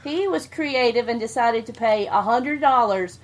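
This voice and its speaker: A woman's voice: a little high, moderate pace, slight background noise. A woman co-hosting a casual podcast, reading out a news story with dry, wry remarks. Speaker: podcast co-host.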